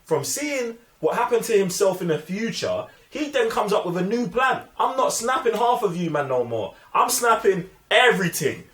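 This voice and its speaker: A man's voice: high-pitched, big pitch swings, normal speed, few pauses, fairly bright tone. A young man with a London accent giving casual, emphatic film opinions full of slang. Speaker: film review show host. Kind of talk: casual movie review chat. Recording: echoey room.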